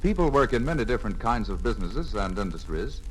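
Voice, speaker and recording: An American man narrates a 1950 instructional filmstrip in a clear, methodical, teacherly way, reading from a script. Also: lowish voice, sing-song, quick pace, slight background noise. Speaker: training film narrator. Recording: vintage 1950 record, faint music.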